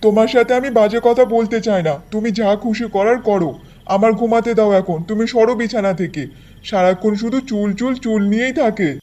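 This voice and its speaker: Guy doing a henpecked cartoon husband, high pitched, fed up and grumbly. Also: fairly even intonation, a little fast, mellow, natural voice. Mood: weary, annoyed. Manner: weary grumbling. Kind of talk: Bengali cartoon folktale acting.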